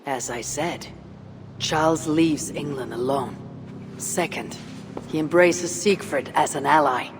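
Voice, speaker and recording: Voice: medium pitch, takes it slowly, noisy background. An actor playing a composed, blunt Viking warrior in a video game, formal and direct in speech. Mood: firm. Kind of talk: dramatic video game acting. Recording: reverberant space, faint ambience.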